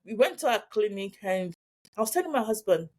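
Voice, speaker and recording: A woman's voice: mid-range voice, sing-song, brisk, darkish tone. Nigerian-accented woman, chatty interview guest. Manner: chatty, repeats words, checks in with "okay?". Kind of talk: casual conversation.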